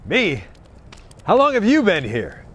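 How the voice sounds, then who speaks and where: A man's voice: high voice, wildly swooping pitch, a little slow, a bit bright, husky. A man in his thirties co-hosting a comedy sports show outdoors, stammering sheepishly in sketches and bouncing into presenter patter. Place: outdoors in snow beside a stadium.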